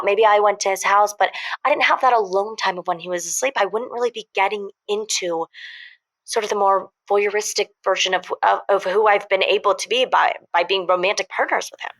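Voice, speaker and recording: Woman doing lovestruck caller confessing stuff, earnest n halting, dreamy, medium pitch, comedy radio show. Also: fairly bright tone, natural voice, poor audio. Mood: confiding. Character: besotted, confessional woman caller obsessed with a dead rival.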